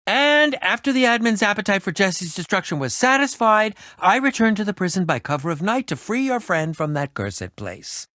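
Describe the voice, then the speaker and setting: A man's voice: high voice, sing-song, normal speed, hardly any pauses, pushed and bright, no echo, clean studio sound, heavily acted. An actor playing an eccentric, self-important man who fancies himself a ninja in a video game, speaking in a pompous, dramatic, wordy manner. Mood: smug, boastful. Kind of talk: animated video game voice acting.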